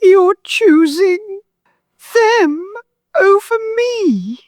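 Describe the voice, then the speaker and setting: A woman's voice: extremely high pitch, animated, very slow, a little mellow, no room sound, studio quality, full character voice. An actress playing a bitter, manipulative older mother-in-law in an audio drama, theatrical and guilt-tripping. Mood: wounded, bitter.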